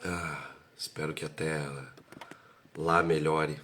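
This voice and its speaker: Hoarse man with a very low voice. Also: level tone, slow pace, a bit bright, a little hiss or hum. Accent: Brazilian Portuguese, Curitiba. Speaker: live show co-host. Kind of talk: casual live chat.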